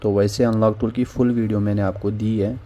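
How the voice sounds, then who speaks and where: A man's voice: lowish voice, level tone, brisk, warm tone. A man casually walking viewers through a phone repair tool on screen, often saying "theek hai" between steps. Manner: informal, repeats "theek hai" as a filler. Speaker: phone repair tutorial host. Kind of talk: casual screen-recorded software walkthrough. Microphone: voice-over on screen recording.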